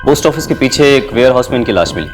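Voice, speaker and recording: A woman's voice: very deep voice, lively intonation, rapid-fire. A woman playing a police investigator asking terse, matter-of-fact questions in a TV crime drama. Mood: terse, inquiring. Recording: dramatic music behind the voice.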